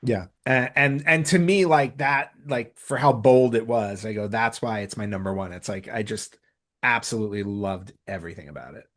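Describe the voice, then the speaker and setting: A man's voice: lowish voice, moderate pace, fairly bright tone. A man talking casually as a podcast host, enthusiastic and emphatic, often repeating phrases to stress his point.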